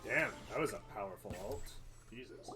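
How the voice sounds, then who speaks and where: A woman's voice: extremely low pitch, expressive, fairly quick, loud music behind the voice. A woman doing casual, slangy live gameplay commentary as a game streamer. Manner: slangy, swearing.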